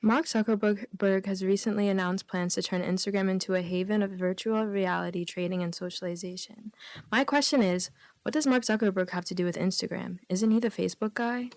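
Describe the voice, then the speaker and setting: A woman's voice: mid-range voice, steady tone, a little fast, no echo. A woman guest on a radio comedy show, reading jokes aloud and bantering casually. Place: radio studio.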